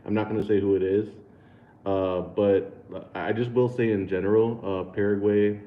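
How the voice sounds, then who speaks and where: A man's voice: lowish voice, fairly even intonation, moderate pace, reverberant, narrow, tinny audio. An American man hosting a casual livestream, reading super chats aloud, laid-back, laughing often and stumbling over repeated words and fillers. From United States. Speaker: livestream host.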